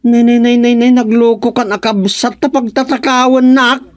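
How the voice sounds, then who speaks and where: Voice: medium pitch, little pitch movement, normal speed, darkish tone, close to an everyday voice. A performer voicing a wayward, defiant younger brother in a radio serial, whiny and childish. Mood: evasive. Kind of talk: radio serial drama acting.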